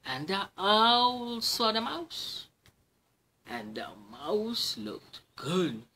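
A man in his thirties with a Trinidadian accent, telling a picture-book story theatrically, with hushed, drawn-out phrases. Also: sits high, very animated, slight background noise. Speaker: children's storyteller. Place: small room at home.

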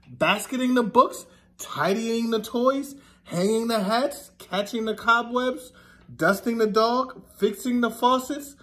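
Slow, high-voiced man reading to children. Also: a bit bright. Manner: sing-song, deliberate, stressing repeated words. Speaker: teacher reading aloud to children. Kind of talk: children's picture book read-aloud. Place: classroom, indoors.

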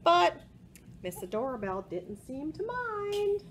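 A woman in her forties reading a picture book aloud to children in an expressive way, with asides about the pictures. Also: extremely high pitch, slow pace. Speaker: library storytime presenter. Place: small indoor room with bookshelves. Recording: home recording with faint background noise.

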